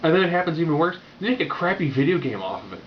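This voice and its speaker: A man's voice: on the high side, very animated, rapid-fire. A man giving a casual, opinionated video review in a wry, rambling, unscripted way. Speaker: movie reviewer. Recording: muffled lo-fi audio with background noise.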